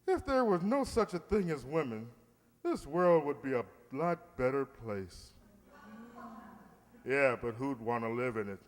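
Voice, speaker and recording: Male actor as pompous middle-aged bachelor, blustery, old-time radio comedy, slow delivery, swooping pitch. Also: animated, long reverb tail, natural voice. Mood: exasperated. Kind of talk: 1940s radio comedy acting.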